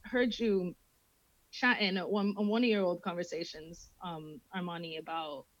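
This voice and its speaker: A woman's voice: lowish voice, fairly even intonation, normal speed, dry recording, dull audio. Chatty woman telling stories. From England. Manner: chatty storyteller who acts out quoted voices, swears freely. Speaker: guest. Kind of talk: casual podcast chat.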